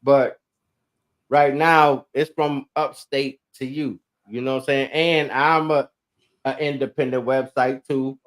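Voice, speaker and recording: A man's voice: medium pitch, steady tone, a little slow. A man hosting a casual interview, agreeable and slangy. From upstate New York. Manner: laid-back, agreeable, slangy. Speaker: host.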